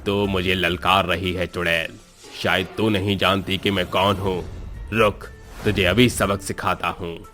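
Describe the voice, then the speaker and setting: A man's voice: sits low, level tone, measured pace, bright, forceful tone, soft background music. A man voicing a stern, commanding tantric holy man in a horror story. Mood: stern threatening.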